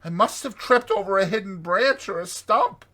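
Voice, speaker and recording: A man voicing a plaintive, grumbling little male mole with drawn-out complaints for a dramatized audiobook. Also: high voice, pushed and bright, pitched above the performer's own voice. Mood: pained, miserable.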